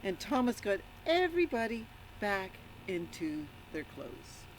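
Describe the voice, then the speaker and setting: A woman reading a picture book aloud to children in an animated storytelling style, pausing to put questions to the listeners. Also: medium pitch, a little hiss or hum, rough recording. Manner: interactive, prompts listeners with questions. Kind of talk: animated read-aloud with asides to young listeners.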